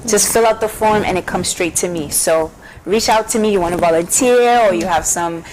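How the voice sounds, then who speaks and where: A woman's voice: fairly low pitch, moderate pace, a little mellow, a little hiss or hum, low-quality recording. A woman, a guest on a TV talk show, speaking in an upbeat, emphatic and persuasive way. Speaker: guest, NGO founder. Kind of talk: casual TV chat.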